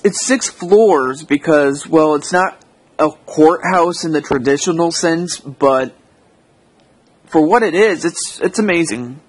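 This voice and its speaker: A man's voice: on the high side, no echo. An American man giving a casual, unscripted audio log, hesitant and rambling, often correcting himself as he goes. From United States.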